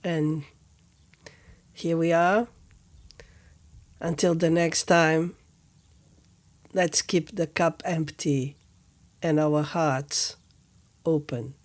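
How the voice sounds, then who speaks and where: Woman talking real slow and gentle, calm spiritual reflection, lots of pauses. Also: deep voice. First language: Portuguese. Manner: gentle, measured, with long pauses between phrases. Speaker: spiritual teacher, podcast host. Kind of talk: reflective contemplative talk.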